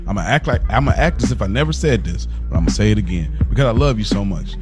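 Low-pitched male podcaster over clear music. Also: lively intonation, racing. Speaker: podcast co-host. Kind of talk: casual podcast conversation. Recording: music bed under the voice.

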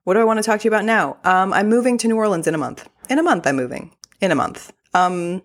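A woman's voice: mid-range voice, lively intonation, fast. A young woman from Texas with a mild Texan accent, hosting a podcast in a chatty, effusive way. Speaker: podcast host. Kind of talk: casual solo podcast talk.

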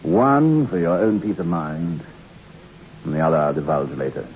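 An actor playing an earnest, formal and persuasive doctor in a 1960s radio drama. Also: male voice, low voice, sing-song, moderate pace, darkish tone. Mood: earnest, insistent. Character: earnest, persuasive male country doctor with a secret. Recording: muffled, noisy old radio recording.

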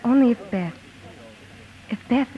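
An actress playing a gentle, caring married sister who narrates and acts in a sentimental 1950s radio drama. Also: female voice, fairly high pitch, lively intonation, measured pace, slight background noise. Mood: wistful, narrating. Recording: dull old radio recording with music.

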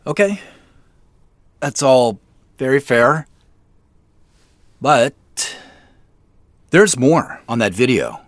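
A man's voice: mid-range voice, sing-song, very slow, pauses often, no echo. A man playing a casual, probing detective in a naturalistic audio drama, dry and inquisitive. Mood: pointed, suspicious. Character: dry, inquisitive male detective working a case.

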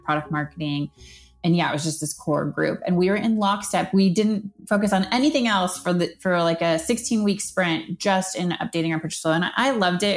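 A woman giving casual, conversational interview answers about her work as a marketing manager. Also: fairly low pitch.

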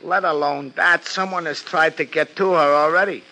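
A man's voice: on the high side, fairly even intonation, normal speed, pushed and bright, low-quality recording. An actor playing an anxious, streetwise city florist in a 1950s radio detective drama, talking in slangy, ungrammatical tough-guy style. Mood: agitated.

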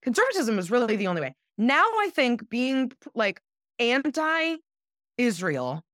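Emphatic woman with a lively voice. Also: fairly high pitch, a little slow, no room sound, studio quality. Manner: emphatic, indignant, swears for emphasis. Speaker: guest commentator. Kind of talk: casual political conversation.

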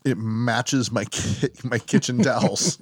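A man's voice: medium pitch, slow pace, breathy edge, dry recording. Man joking around on a podcast. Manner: joking, rambling with many restarts. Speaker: podcast co-host. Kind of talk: casual banter. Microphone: headphones and mic stand.

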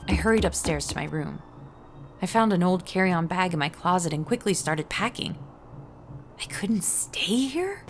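An actress playing a frightened, bewildered ordinary woman, telling her own thriller story in an urgent, breathy way. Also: mid-range voice. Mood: anxious, panicked. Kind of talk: first-person dramatic audio fiction narration. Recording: noisy sound-effect ambience behind voice.

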